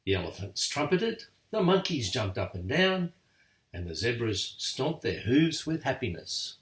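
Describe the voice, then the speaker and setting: Older man, lively storybook reader. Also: medium pitch, measured pace. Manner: lively, expressive read-aloud. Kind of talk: bedtime story reading. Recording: echoey room, far from mic.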